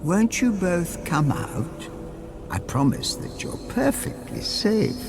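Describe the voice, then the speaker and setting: Slow, creaky, courteous alien intelligence. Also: male voice, high-pitched, very animated, music in the background, heavily acted. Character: ancient, courteous, eerie disembodied intelligence, softly apologetic. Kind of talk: radio drama acting.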